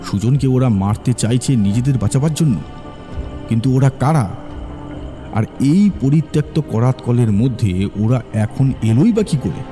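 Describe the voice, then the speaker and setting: A man's voice: medium pitch, lively intonation, unhurried, mellow. A man narrating a horror story as a storyteller, in a dramatic, suspenseful way. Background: faint background music.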